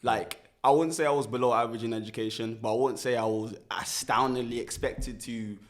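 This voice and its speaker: Young man, mid-pitched and easygoing, thinks aloud with many restarts. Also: brisk. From Birmingham, England. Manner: relaxed, reflective, many restarts and fillers. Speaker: guest, musician. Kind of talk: casual interview.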